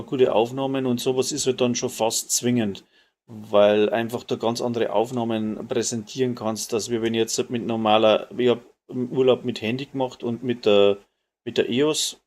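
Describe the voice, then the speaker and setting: A man's voice: mid-range voice, steady tone, normal speed, a little mellow. Bavarian-accented man chatting casually over a game. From Bavaria, Germany. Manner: colloquial, dialect-tinged, mild swearing. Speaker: live streamer playing a video game. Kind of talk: casual chat while gaming.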